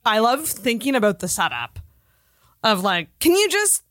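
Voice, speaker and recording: A woman's voice: fairly high pitch, very animated, normal speed, a bit bright, no echo, studio quality. A woman co-hosting a podcast in playful, chatty, comedic conversation. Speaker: podcast co-host. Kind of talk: casual comedic chat.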